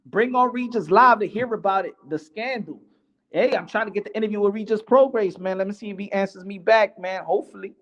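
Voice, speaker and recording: A man's voice: high-pitched, fairly quick. A native Spanish-speaking man casually hosting a boxing chat in slangy, informal talk, joking and stumbling over and repeating his words. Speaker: host of a boxing commentary show.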